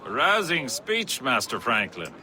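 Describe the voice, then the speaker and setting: A man playing an imperious colonial gentleman in a video game, speaking formally and pompously. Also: mid-range voice, huge pitch swings, a little slow, noisy background. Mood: courteous, formal. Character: imperious colonial Templar gentleman, courteous then threatening. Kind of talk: dramatic video game acting.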